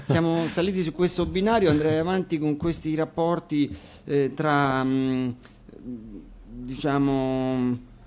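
A man casually introducing songs as a guest musician, explaining things with hesitant pauses. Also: fairly high pitch, fairly even intonation, darkish tone. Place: radio studio.

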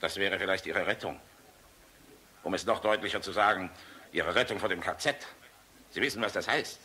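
An actor playing a weary, middle-aged air force general in a radio play, speaking in a measured, blunt but courteous way. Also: male voice, low-pitched, sharp tone, husky, full character voice. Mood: earnest, persuasive.

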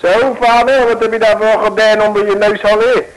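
A man's voice: high voice, flat delivery, moderate pace, close to an everyday voice, poor audio. A man playing a smarmy bragging barfly. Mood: mocking. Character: sleazy boastful male barfly, flirtatious and show-off. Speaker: barroom flirt. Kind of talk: 1950s radio play acting. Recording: old radio broadcast with crowd noise.

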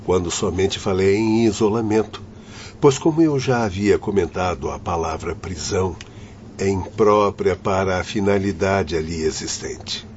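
A man acting a firm, patient doctor in a radio drama, speaking in a measured, formal, instructive way. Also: low voice, a little mellow, natural voice. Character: firm, patient doctor guiding troubled spirits. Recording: dull, noisy lo-fi audio.

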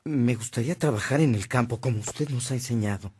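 An actor playing an earnest, respectful young Mexican man, expressive and often soft-spoken, in a radio drama. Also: medium pitch, brisk, a little hiss or hum, close to an everyday voice. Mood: earnest, wistful.

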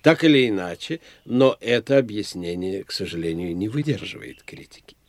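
An actor playing a self-assured, eccentric older doctor of the soul in an audio drama, speaking in a pondering, indulgent, lecturing manner. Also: male voice, fairly low pitch, expressive, moderate pace. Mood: analytical. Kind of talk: theatrical audio drama dialogue.

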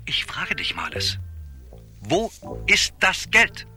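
Suspicious male crook: high-pitched, piercing, quarrelsome, wildly varied intonation, radio crime play. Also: huge pitch swings, takes it slowly, sharp tone, soft background music, full character voice. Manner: accusing, agitated.

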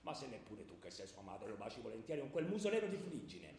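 A man's voice: lowish voice, sing-song, quick pace, vocal fry, darkish tone. A man playing a lecherous, unrepentant king of the gods, smugly wheedling and teasing his wife in a theatrical radio comedy. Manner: smug, wheedling. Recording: very echoey hall with faint noise.

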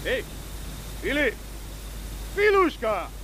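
1950s radio play: teasing, hearty old friend, very animated pitch, slightly noisy old recording. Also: male voice, slow, heavily acted.

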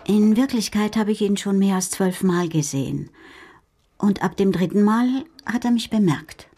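An actress playing a self-possessed, observant middle-aged woman who speaks deliberately with small hesitations, in a German radio crime drama. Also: mid-range voice, dark tone, husky. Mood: guarded. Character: self-possessed, adventurous Parisian landlady, observant night wanderer.

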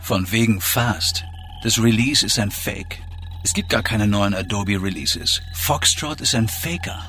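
A man's voice: lowish voice, a little slow, sharp tone. A man playing a serious, matter-of-fact reporter of facts in a scripted radio play. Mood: annoyed. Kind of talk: scripted radio drama and news reading. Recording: music bed behind the voice.

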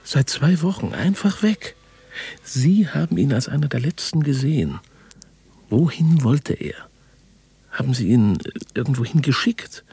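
A male investigator in a naturalistic radio drama. His voice is very husky and dark, and he questions with restrained but stubborn persistence. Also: medium pitch, lively intonation, unhurried, heavily acted. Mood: urgent, insistent. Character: insistent male investigator searching for a missing journalist.

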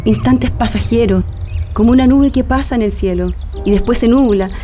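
A woman playing an earnest, grieving daughter in a radio drama, with a Chilean accent and a halting, emotional delivery. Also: a little high, warm tone, natural voice. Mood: wistful, grieving. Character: grieving daughter Mónica, earnest, wistful, pleading adult woman. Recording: radio play with music underneath.

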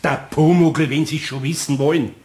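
A man's voice: fairly high pitch, steady tone, moderate pace, darkish tone, natural voice. An actor playing a good-hearted, grumbling older craftsman with a Bavarian accent in a radio play. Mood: evasive, grumpy.

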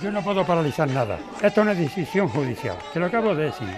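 A man's voice: on the high side, very animated, fast talker, crowd noise. An actor playing a stubborn middle-aged corporate lawyer and father in an audio drama, defensive, impatient and condescending.

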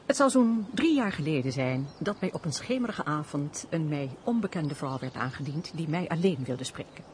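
Worldly, teasing countess: expressive swooping intonation, formal period speech, faintly hissy radio play. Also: female voice, sits low, moderate pace. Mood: earnest, storytelling. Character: teasing, sensible countess, elder-sisterly and worldly. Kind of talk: Dutch radio play, period stage dialogue.

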